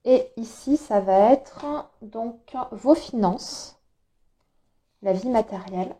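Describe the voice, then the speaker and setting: A woman talks through a monthly tarot spread, her voice soft and mellow. A cold has left her throat a touch hoarse. She hesitates often and fills gaps while she explains each card. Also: on the high side, takes it slowly. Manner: hesitant, many filler sounds, explanatory. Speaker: tarot reader. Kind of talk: monthly astrology tarot reading.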